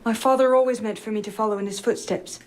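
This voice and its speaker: A woman's voice: a little high, steady tone, fast talker, a little mellow, slight background noise, lightly acted. A woman acting as a grieving young noblewoman set on vengeance in a video game. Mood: bitter.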